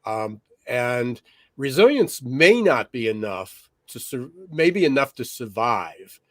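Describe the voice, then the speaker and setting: A man co-hosting a podcast, a little raspy today, talking loosely with many fillers and restarts. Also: medium pitch, very animated, takes it slowly, a bit bright, no echo, clean studio sound. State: slightly raspy from smoky air. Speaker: podcast co-host. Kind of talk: conversational podcast monologue.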